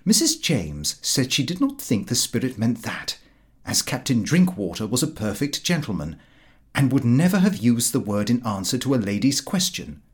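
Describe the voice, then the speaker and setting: A man reading a comic diary aloud as an audiobook narrator, in expressive scripted narration. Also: medium pitch, moderate pace.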